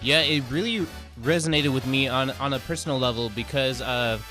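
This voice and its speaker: Guy co-hosting radio, casual and a bit tentative, mid pitch, music clearly playing behind. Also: fairly quick, pushed and bright. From outside the United States. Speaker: radio co-host.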